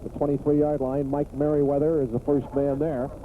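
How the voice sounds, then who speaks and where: An American man calling football play-by-play on the radio in clipped phrases that build toward excited calls. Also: a little high, normal speed. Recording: tinny, noisy 1980s AM radio broadcast.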